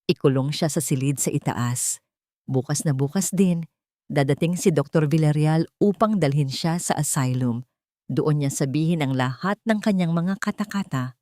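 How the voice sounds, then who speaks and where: A woman's voice: low voice, normal speed, dark tone, no echo, studio quality, natural voice. A woman voicing a cruel, domineering old rich matriarch in a story, commanding and contemptuous. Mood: cold command.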